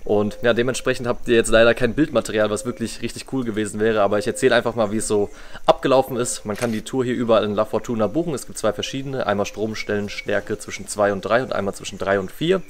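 A young male travel vlogger talks casually and quickly in a low voice. He is recorded outdoors on a terrace, with faint ambient noise behind him. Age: young adult. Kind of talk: casual vlog storytelling.

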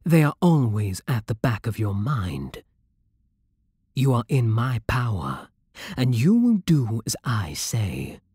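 A man with a British accent playing a possessive, seductive vampire king in an intimate ASMR roleplay, speaking softly and breathily with hypnotic, imperious endearments. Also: mid-range voice, sing-song, slow pace, no echo, studio quality, heavily acted. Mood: stern, possessive. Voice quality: soft, breathy, husky.

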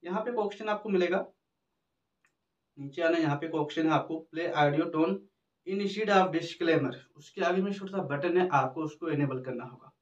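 A man's voice: a little high, moderate pace. A man, explaining phone settings step by step to camera in a repetitive tutorial manner. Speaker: tech tutorial host. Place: small indoor room with backdrop. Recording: roomy, distant mic, dull muffled sound.

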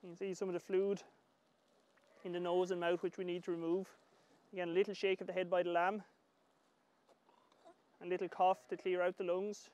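A middle-aged man with an Irish accent giving calm, measured hands-on instructional commentary as an agriculture professor. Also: sits high, clean tone. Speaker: agriculture professor demonstrating lambing. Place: large open livestock shed with straw pens.

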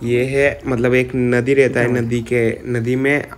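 A man's voice: medium pitch, level tone, takes it slowly. A young man talks into a phone camera outdoors beside a river. His delivery stays level and unvaried, and he rambles with plenty of filler words. The speech comes across casual and unscripted. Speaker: vlogger. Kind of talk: casual vlog narration.

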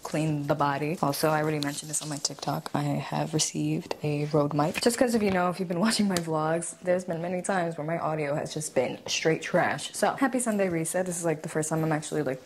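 A woman's voice: low-pitched, a little fast, hardly any pauses, noisy background. A woman in her twenties chatting casually to her viewers in a lifestyle vlog, slangy and direct, on a clip-on mic in her apartment. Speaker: lifestyle vlogger. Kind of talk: casual vlog chat to camera. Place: apartment rooms, indoors. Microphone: clip-on lapel mic.

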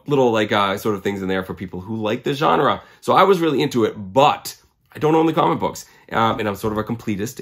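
A man in his forties with a lowish voice that is a little hoarse from a cold. He talks to camera like a hobby vlogger, conversational and keen, in a casual flow. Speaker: comic collecting video host. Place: small indoor room lined with comics.

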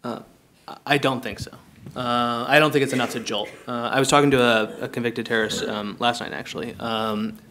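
A man's voice: medium pitch, steady tone, fairly quick, pushed and bright, slight background noise. A man in his thirties on a policy panel, speaking casually with many ums and personal anecdotes, at a table microphone in a conference room.

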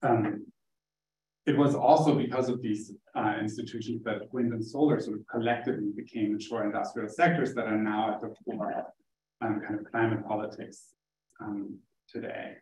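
A man's voice: lowish voice, fairly even intonation, moderate pace, a little mellow. A man from Germany, a native German speaker, giving an explanatory academic seminar talk with a loosely hedged delivery. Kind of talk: academic lecture. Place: indoor seminar room, streamed over video call. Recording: roomy, distant mic in seminar room.

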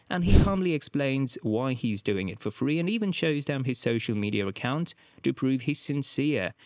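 A man's voice: medium pitch, a little mellow, no room sound. Dry, close-miked male voiceover narrator. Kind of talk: scripted documentary voiceover.

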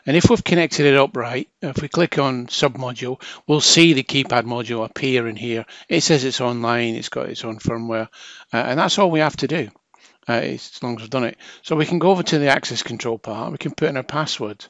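A man's voice: medium pitch, a little fast, fairly bright tone, no room sound, highs cut off. A man narrating a hands-on technical tutorial as a trainer, explaining informally step by step.

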